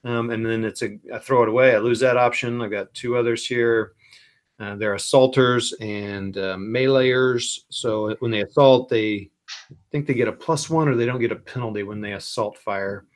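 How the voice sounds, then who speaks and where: A man hosting a board game stream, calmly explaining the rules with lots of ums, talking into a computer mic. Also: mid-range voice. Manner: unhurried, many ums, thinks aloud over rules. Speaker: wargaming stream host. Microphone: computer mic at his desk.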